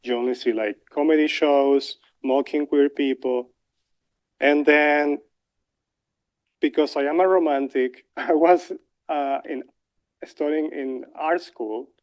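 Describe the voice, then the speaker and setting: A young man from Venezuela with a Spanish accent, telling a personal story as a lecturer, halting with many fillers and restarts. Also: on the high side, fairly even intonation, a little mellow.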